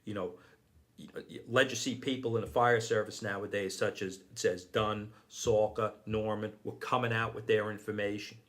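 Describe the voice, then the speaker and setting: A man's voice: on the low side, level tone, moderate pace, a bit bright. A middle-aged man, a deputy fire chief giving earnest advice in a podcast interview, often repeating himself and adding "okay".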